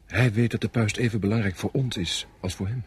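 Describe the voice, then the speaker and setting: An actor playing a sombre, doubtful subordinate French army officer in a radio play, deferential yet brooding. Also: male voice, fairly low pitch, fairly quick, slightly rough. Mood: respectfully doubtful. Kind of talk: Dutch radio play acting.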